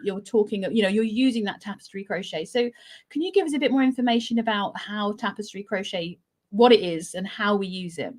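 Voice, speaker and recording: A woman's voice: medium pitch, fairly quick. A woman with a British accent hosting a live crochet stream, chatty and effusive, on a video call. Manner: chatty and effusive, with fillers and laughs. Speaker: host of a live crochet show. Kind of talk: casual live-stream chat.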